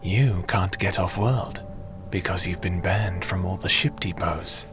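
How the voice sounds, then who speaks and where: A man playing a calm, gently teasing mage in an ASMR roleplay drama, with a measured, soft-spoken, dry delivery that slips into whispery tones. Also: low-pitched, over music. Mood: dry, reproving.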